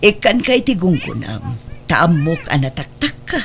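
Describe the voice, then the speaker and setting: Wealthy middle-aged mother played by a woman, firm, reproachful, lively pitch swings, Northern Philippine accent, audio drama. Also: moderate pace. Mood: resolute, calm. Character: stern, wealthy ailing adoptive mother putting her affairs in order. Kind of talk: emotional audio drama acting. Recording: clear background noise.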